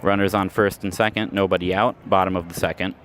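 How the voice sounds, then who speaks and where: Low-voiced man calling baseball play-by-play. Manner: steady, measured call with stats between pitches, rising sharply on big plays. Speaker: play-by-play announcer. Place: outdoor ballpark. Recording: live ballpark recording with clear crowd noise.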